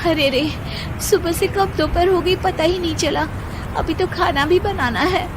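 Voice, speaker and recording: A woman's voice: very high voice, measured pace, noisy background, voice pushed up. A woman voicing a polite, bewildered young newlywed bride in a cartoon story. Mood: flustered. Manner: polite, flustered, talks to herself.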